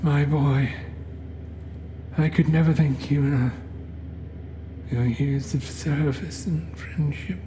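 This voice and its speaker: A man voicing a frail, wealthy old cult patron in a horror story, grandiose, with slow and halting speech. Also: fairly high pitch, steady tone, background noise, voice pushed up. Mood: grateful, feeble. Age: older. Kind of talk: horror story character acting.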